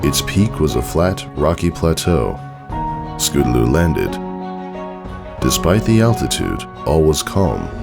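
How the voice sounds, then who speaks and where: A man narrates fiction in a very low voice with a creaky edge. His delivery is measured and dramatic, moving expressively through the lines over background music. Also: big pitch swings. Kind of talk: scripted story narration. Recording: music under the voice.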